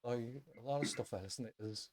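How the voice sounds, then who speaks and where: A man's voice: medium pitch, fast. A Northern Irish man hosting a music podcast in a casual, chatty style. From Northern Ireland.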